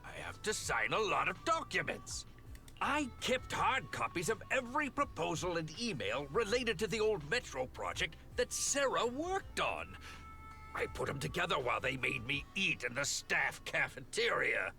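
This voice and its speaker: Shrill, flustered figurehead boss. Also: male voice, big pitch swings, moderate pace, vocal fry, pushed and bright, heavily acted. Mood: desperate, indignant. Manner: pleading, indignant, over-dramatic. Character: flustered, whiny, earnest figurehead company boss. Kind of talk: anime-style video game voice acting. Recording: music behind the voice. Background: background music.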